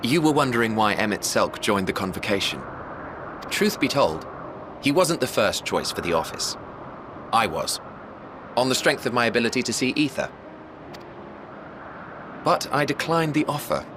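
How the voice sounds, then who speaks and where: An actor playing a genial, self-effacing ancient man in a video game, with eloquent, gently teasing, formal phrasing. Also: mid-range voice, very animated, normal speed, long pauses, noisy background, lightly acted. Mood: wry, reflective. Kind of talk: video game cutscene acting. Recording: music behind the voice.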